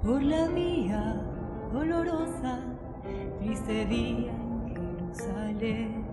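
A woman singing a slow, sorrowful devotional song. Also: a little high. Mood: mournful. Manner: sung slowly, sorrowful and reverent. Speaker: singer. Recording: roomy studio song recording over loud music.

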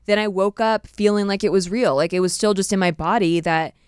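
A woman in her thirties hosting a casual podcast, chatty and animated, recorded on a desk mic in a small studio. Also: mid-range voice, a little fast, clean tone, dry recording. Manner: animated, chatty, slips into playful put-on voices. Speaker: podcast host. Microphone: desk mic on a boom arm.

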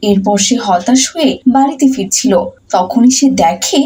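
A woman's voice: a little high, lively intonation, moderate pace, dark tone, a little hiss or hum. A woman narrating a cartoon story in a scripted storytelling style.

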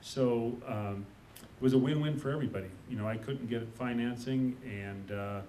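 Male panelist, mid pitch, off-mic with a faint rumble, casual halting delivery. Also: mellow, distant mic, poor audio. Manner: halting, many uh fillers and restarts. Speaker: panelist, business owner. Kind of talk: unscripted panel talk. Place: conference room.

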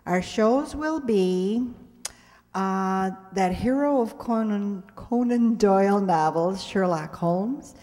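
A woman's voice: medium pitch, slow, dark tone. A woman in her sixties giving semi-scripted thanks and announcements to an audience from a stage. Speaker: member of a radio players group giving closing announcements. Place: stage of a community hall. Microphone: stand microphone on stage, recorded by audience camera. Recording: echoey hall sound.

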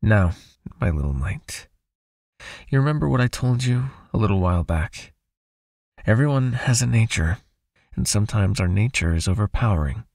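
A man playing a possessive, soothing vampire captor in an intimate ASMR hypnosis roleplay, speaking slowly and breathily, coaxing and gently commanding. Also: expressive, no room sound, studio quality. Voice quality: husky, breathy, low, often near a whisper.

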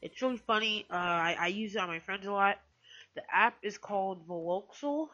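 A woman hosting a casual software tutorial in a hesitant, rambling way, often correcting herself. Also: mid-range voice, moderate pace, muffled recording. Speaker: tutorial host.

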